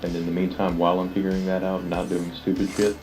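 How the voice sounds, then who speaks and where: Deep, flat-voiced young man. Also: fast talker, soft background music. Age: young adult. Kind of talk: casual group chat.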